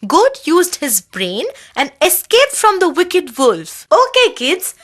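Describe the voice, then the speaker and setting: A woman's voice: very high voice, wildly swooping pitch, slow pace. A woman presenting a scripted children's lesson with morals and word meanings.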